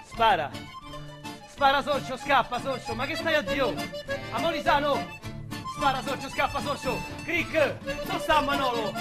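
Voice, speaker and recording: An actor playing an excitable, streetwise Roman small-time robber, telling his story as a theatrical radio drama monologue in slangy dialect. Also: very high-pitched, measured pace. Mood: frantic. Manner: slangy, vulgar, theatrical storytelling with shouts and hushed asides. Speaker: actor narrator. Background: music under the voice.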